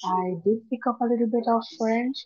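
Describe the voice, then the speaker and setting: A woman's voice: a little high, fairly quick, darkish tone, no room sound, lo-fi sound. A woman from Jamaica with a Jamaican accent, speaking steadily as a meeting attendee. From Kingston, Jamaica.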